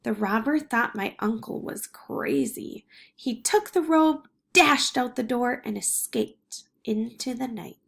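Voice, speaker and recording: A woman reading a children's picture book aloud in an expressive way. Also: a little high, slow pace, gravelly. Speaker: storybook reader.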